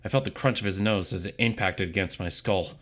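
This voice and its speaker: Deep-voiced man, forceful dramatic narration. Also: a little fast. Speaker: narrator reading a visual novel. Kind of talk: dramatic first-person scripted narration.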